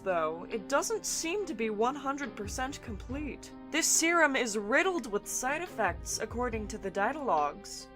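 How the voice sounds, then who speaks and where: A woman voicing an excitable young scientist in a fan audio drama, animated and prone to giddy laughter. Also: fairly high pitch. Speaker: scientist character.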